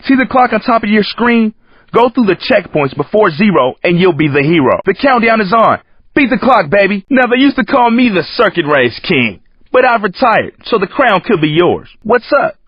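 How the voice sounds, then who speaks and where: Cocky streetwise American coach, brash slang, video game, husky, dry lo-fi sound. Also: male voice, high voice, sing-song, moderate pace, no echo, heavily acted. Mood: upbeat hype. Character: cocky, hip young streetwise male racing coach. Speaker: race announcer in a driving game. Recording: muffled lo-fi game audio.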